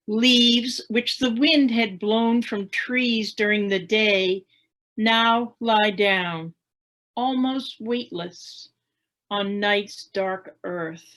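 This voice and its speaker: Extremely slow woman reciting poetry. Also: mid-range voice, fairly bright tone. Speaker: poet.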